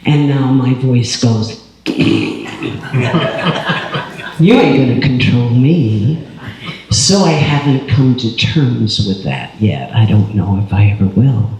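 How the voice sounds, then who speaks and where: Older retired singer, boomy voice, slow wry delivery; heavy room echo. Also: male voice, animated, a little mellow, slight background noise. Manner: wry, self-deprecating. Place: public panel event room. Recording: strongly echoing hall.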